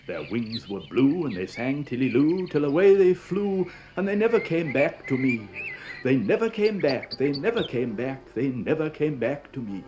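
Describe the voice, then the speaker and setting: A man reading children's nonsense verse aloud in an expressive, theatrical recitation, on a vintage classroom record. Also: medium pitch, normal speed, highs cut off, background noise. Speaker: poetry reader. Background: music.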